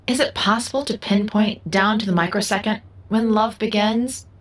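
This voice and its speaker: A woman's voice: medium pitch, steady tone, normal speed, natural voice. A woman acting in an audio drama as an enigmatic, all-knowing young relative who speaks formally and precisely in philosophical riddles. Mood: serene, probing.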